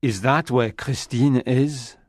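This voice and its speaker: A man's voice: medium pitch, fairly even intonation, slow pace, dry recording, pitched above the performer's own voice. A man voicing an earnest, puzzled younger man in an audiobook, asking questions anxiously.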